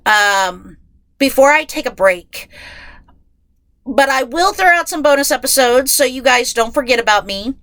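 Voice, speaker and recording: Female podcast host, highish bright voice, nasal from a head cold, casual and rambling, self-deprecating. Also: dry recording, low-quality recording. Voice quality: nasal, stuffed-up. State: getting over a head cold. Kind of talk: casual, chatty solo podcast. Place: inside a closet at home.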